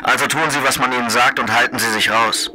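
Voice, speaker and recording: Cold-mannered, threatening prosecutor for radio play; formal, admonishing, piercingly forceful delivery. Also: male voice, medium pitch, fairly even intonation, quick pace, a little hiss or hum, rough recording. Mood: stern, lecturing. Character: menacing, condescending male federal prosecutor. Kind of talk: German radio play acting.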